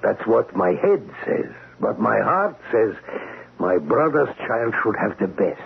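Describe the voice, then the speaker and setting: Fatherly older uncle, very lively delivery. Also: on the low side, a little slow, creaky, breathy edge, heavily acted. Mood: tender, proud. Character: kindly, fussing older uncle who runs a neighborhood cafe. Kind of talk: vintage radio drama acting. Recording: muffled, narrowband noisy old radio recording.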